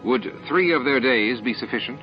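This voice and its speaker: Man acting a smooth, condescending alien hive leader. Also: mid-range voice, moderate pace, muffled recording, faint music behind, voice pushed up, close to an everyday voice. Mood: matter-of-fact. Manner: smooth, formal, self-assured. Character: calm, condescending male alien hive leader, reasonable and baffled.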